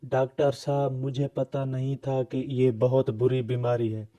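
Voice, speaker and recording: A man's voice: medium pitch, completely flat delivery, unhurried, dark tone, close to an everyday voice. A man playing a pleading, exasperated husband and father in a cartoon. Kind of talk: cartoon dialogue.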